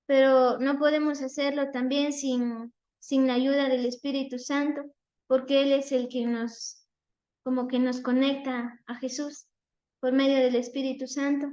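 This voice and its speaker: Young woman at a faith seminar, slow and halting, high voice, slightly muffled recording. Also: a little mellow. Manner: hesitant, many restarts and fillers. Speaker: seminar participant. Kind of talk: reflective talk on faith.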